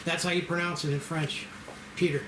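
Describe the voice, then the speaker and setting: A man's voice: fairly high pitch, little pitch movement, a little fast. A man leading a table read, informally organizing the group and explaining things. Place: indoor room with a group. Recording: noisy, echoey room.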